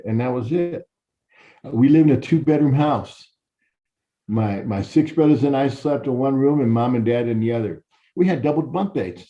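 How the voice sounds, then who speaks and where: A man's voice: mid-range voice, dark tone. A middle-aged man from Chicago, a university professor telling his life story to students in a conversational, repeating way. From Chicago, Illinois. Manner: conversational, repeats words and restarts, direct address. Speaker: university professor giving a talk. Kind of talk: personal storytelling lecture to students. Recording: dull lo-fi recording.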